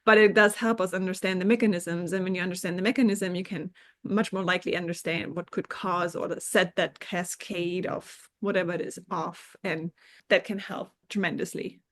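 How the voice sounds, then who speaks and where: A woman's voice: lowish voice, steady tone, moderate pace, fairly bright tone, a little hiss or hum. Thoughtful woman from Germany explaining science. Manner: thoughtful and explanatory, with hedges and restarts. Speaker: scientist guest. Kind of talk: explaining research in conversation.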